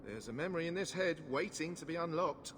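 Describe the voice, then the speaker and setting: An actor playing a falsely polite, bribe-seeking harbour assistant in a video game. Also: male voice, fairly high pitch, expressive, a little fast, a bit bright. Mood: smug, coy. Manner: mock-apologetic, falsely polite. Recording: reverberant, noisy outdoor ambience.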